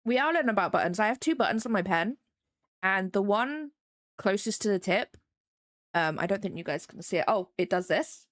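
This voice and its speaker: A woman's voice: medium pitch, expressive, a little fast, fairly bright tone, dry recording, clean studio sound. A young English woman with a faint Midlands accent, chatting casually with her live-stream chat while she draws, rambling and self-deprecating. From England.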